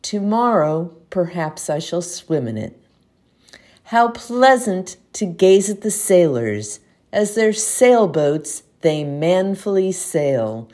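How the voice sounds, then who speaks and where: A woman reciting a poem aloud as an amateur reader, with measured, expressive phrasing. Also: lowish voice. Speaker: community poetry reader. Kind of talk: poetry recitation.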